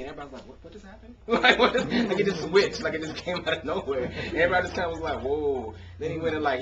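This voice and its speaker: An American man giving a spoken word poetry reading with casual introductions, phrasing his words expressively and with emphasis. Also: mid-range voice. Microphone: camcorder microphone. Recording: dull, noisy consumer camcorder sound.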